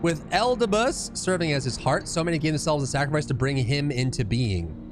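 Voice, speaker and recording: A man's voice: a little high, lively intonation, fairly quick, noisy background, lightly acted. A man voicing a grave ancient witness in a fantasy game, recounting catastrophe in solemn, archaic storytelling style. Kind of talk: reading fantasy game dialogue aloud.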